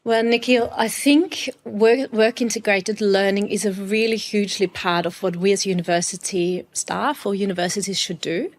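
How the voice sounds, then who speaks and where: A woman's voice: medium pitch, fairly even intonation, moderate pace, few pauses. A woman from Austria, a university executive, answering interview questions in an articulate, earnest way with occasional restarts.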